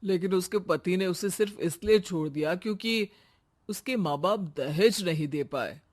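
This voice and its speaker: A woman's voice: lowish voice, normal speed, lightly acted. Woman acting earnest, confiding young brother. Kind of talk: acted moral story dialogue.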